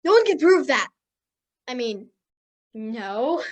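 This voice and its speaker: A woman's voice: high-pitched, very animated, takes it slowly, lo-fi sound, exaggerated voice. A young woman playing a shifty fairytale troublemaker who feigns innocence in a comic stage play. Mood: evasive. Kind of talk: comic stage acting over video call.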